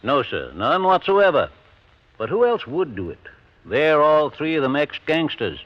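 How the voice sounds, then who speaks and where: An actor playing a formal, insistent small-town mayor and police chief in a 1950s radio drama. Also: male voice, fairly high pitch, very animated, a little slow. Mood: officious. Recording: dull vintage radio recording.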